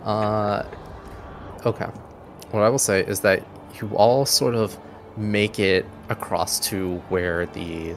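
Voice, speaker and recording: Low-voiced man running a tabletop game. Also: fairly bright tone. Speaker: game master. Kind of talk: improvised narration of a tabletop game.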